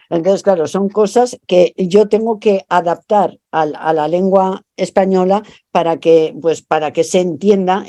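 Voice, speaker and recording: A middle-aged woman from Spain speaks with a Spanish accent, answering interview questions as a translator and professor. Her voice is very dark and mellow, recorded dry and close. She talks reflectively, often repeating words as she gathers her thoughts. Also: lowish voice, dry recording. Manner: thoughtful, repeats words while searching for phrasing. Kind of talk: interview over video call.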